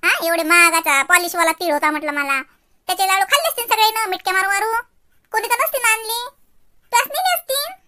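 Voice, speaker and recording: A woman's voice: extremely high pitch, brisk, bright, forceful tone. A woman playing a quarrelsome, boastful mother-in-law in a cartoon comedy, scolding in a Varhadi Marathi accent from Vidarbha. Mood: grumbling, suspicious. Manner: scolding, ranting with rhetorical questions. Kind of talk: exaggerated cartoon comedy acting.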